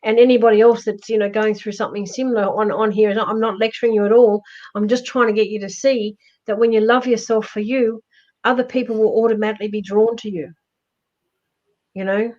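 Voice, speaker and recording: A woman with a loud voice, giving emphatic, reassuring life advice in a casual live-stream chat. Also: mid-range voice, fairly even intonation, a little fast. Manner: emphatic, reassuring, repeats herself. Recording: dull lo-fi stream audio.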